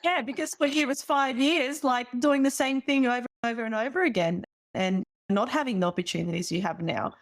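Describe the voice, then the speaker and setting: A woman's voice: a little high, fairly quick. A woman hosting an interview podcast and coaching people, speaking in an encouraging, reflective way. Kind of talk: casual interview chat.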